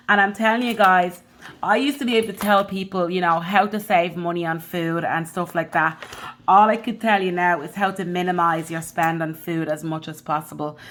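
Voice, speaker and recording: A woman's voice: on the low side, fairly bright tone. Chatty woman with a Dublin accent. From Caribbean. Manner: chatty and rambling, addresses viewers directly. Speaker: budgeting vlogger. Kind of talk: casual chat to camera.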